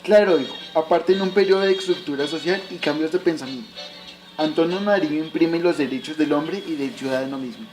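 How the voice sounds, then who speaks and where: Man playing a high-voiced father teaching history. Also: brisk, a little mellow, soft background music, natural voice, poor audio. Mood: explanatory. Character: patient father teaching his son history. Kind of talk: scripted educational radio drama.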